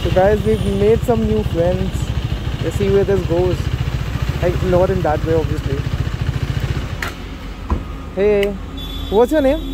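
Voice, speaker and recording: A woman's voice: medium pitch, takes it slowly, long pauses. A woman in her twenties with an Indian English accent chatting casually as a motovlogger by a city road. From Delhi, India. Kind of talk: casual roadside chat. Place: outdoors on a city roadside by a motorbike. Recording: loud traffic noise outdoors.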